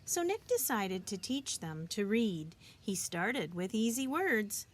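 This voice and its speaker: A woman reading a children's picture book aloud, expressively and deliberately, as a teacher would for young listeners. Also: mid-range voice, sing-song, slight background noise. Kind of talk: children's storybook read-aloud.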